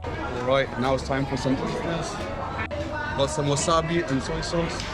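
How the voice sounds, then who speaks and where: A man's voice: a little high, fairly even intonation, measured pace, loud music behind the voice, over a noisy crowd. Man tasting food, talking through bites. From Kenya and Switzerland. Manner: enthusiastic, eats while talking, lists flavors. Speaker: travel vlogger. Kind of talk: casual on-camera food tasting.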